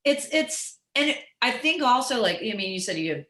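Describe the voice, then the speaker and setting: A middle-aged woman with a raspy alto voice, chatting casually and candidly as an interview guest, swearing freely and peppering her talk with filler phrases. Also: on the high side, moderate pace. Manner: animated, profane, peppers talk with "like" and "you know". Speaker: interview guest, musician and comedian. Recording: roomy echo.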